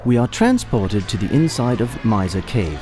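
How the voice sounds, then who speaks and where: A man's voice: on the low side, very animated, normal speed. A man narrating a comic audio play as a matter-of-fact storyteller, reading from a script. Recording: music behind.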